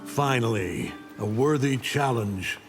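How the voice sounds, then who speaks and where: A man's voice: mid-range voice, slow pace, natural voice. A man playing an arrogant, stern middle-aged alien warlord in a video game, haughty, taunting and menacing. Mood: haughty contempt. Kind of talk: video game fight-intro acting. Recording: loud music behind the voice.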